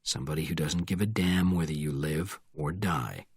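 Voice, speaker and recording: Man voicing a low, cold, deadly action hero. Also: moderate pace, husky, no echo, studio quality, full character voice. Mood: cold menace. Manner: quiet, controlled, terse.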